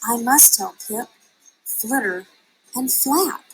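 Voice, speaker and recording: A woman's voice: on the high side, expressive, slow, a little hiss or hum, rough recording. A woman reading a children's storybook, voicing a naive, earnest young girl bat in a wide-eyed, emphatic way. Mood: excited. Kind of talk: children's storybook read-aloud.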